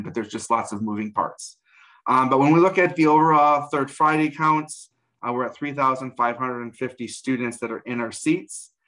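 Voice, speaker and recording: Man giving a measured video-call report. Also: medium pitch, a bit bright, rough recording. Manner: measured, frequent um and uh fillers. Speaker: school district administrator. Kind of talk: meeting report. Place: home room, indoors. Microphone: video call webcam.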